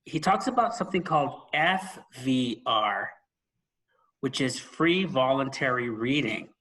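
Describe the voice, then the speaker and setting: A man hosting a conversational podcast about books, speaking emphatically and pausing for dramatic effect. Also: mid-range voice, animated, pushed and bright, low-quality recording. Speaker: podcast host. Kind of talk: conversational book discussion.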